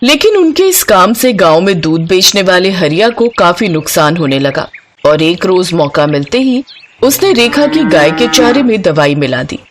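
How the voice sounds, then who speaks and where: A woman storyteller reading a scripted bedtime moral tale in an expressive narrating style. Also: on the low side, moderate pace, a little hiss or hum.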